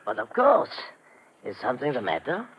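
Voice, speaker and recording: An actor playing a helpful, fussy German hospital doctor in an old radio drama, hesitant yet precise, with a German accent. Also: male voice, medium pitch, wildly swooping pitch, normal speed, a bit bright, breathy edge, full character voice, low-quality recording. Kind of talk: 1950s radio drama acting.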